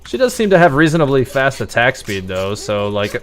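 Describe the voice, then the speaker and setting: A man's voice: mid-range voice, big pitch swings, a little fast. A man giving casual, rambling live gameplay commentary, thinking aloud as he streams. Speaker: game livestreamer.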